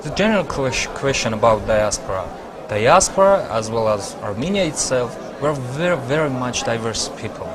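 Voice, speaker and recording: A male interviewer asking haltingly phrased questions. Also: medium pitch, animated. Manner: brief affirmations, questions asked haltingly. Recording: crowd and noise outdoors.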